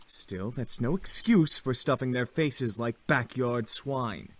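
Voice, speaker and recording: An actor playing a strict, haughty young gentleman incubus in a visual novel game, scolding in a proper manner. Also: mid-range voice, animated, slow pace. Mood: exasperated.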